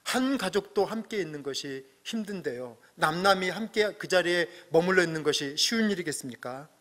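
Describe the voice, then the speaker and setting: Bright high-voiced male pastor preaching. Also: animated, brisk. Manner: earnest preaching with rising emphatic peaks and soft low asides. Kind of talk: sermon. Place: church sanctuary. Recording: long hall reverb.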